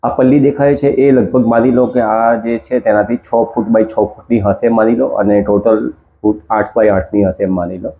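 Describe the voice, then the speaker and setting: A man hosting, slowly explaining a project as he demonstrates it on screen. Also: fairly low pitch, fairly even intonation. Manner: slow, explanatory, walking through a demo. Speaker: host. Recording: tinny phone-like sound.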